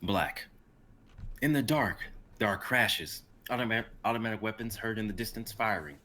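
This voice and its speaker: A young man reads stage directions at a casual live table reading. His voice is fairly low yet bright and forceful, narrating a script. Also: moderate pace. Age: young adult.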